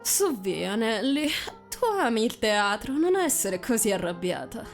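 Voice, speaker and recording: A woman's voice: medium pitch, big pitch swings, unhurried, faint music behind. A woman voicing a condescending young aristocratic fiancé, patronising and controlled, in a visual novel reading. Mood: teasing.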